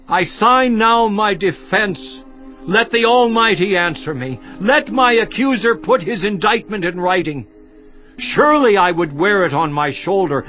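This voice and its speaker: A man's voice: high-pitched, muffled recording. An actor in a dramatized audio Bible playing an anguished, righteous man who solemnly protests his innocence to God. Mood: defiant. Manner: slow, solemn, impassioned declamation.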